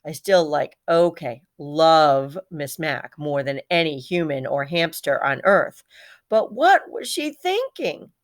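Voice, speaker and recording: A woman's voice: low-pitched, big pitch swings, slow, exaggerated voice. A woman voicing a small, proud, heartbroken male pet hamster in a dramatic children's storybook read-aloud, repeating words for emphasis. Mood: hurt, indignant.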